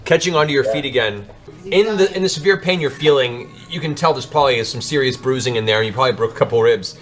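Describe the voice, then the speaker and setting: A man's voice: mid-range voice, fast talker. A man narrating a tabletop fantasy game as game master, in a dramatic, improvised storytelling style. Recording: music behind the voice. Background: background music.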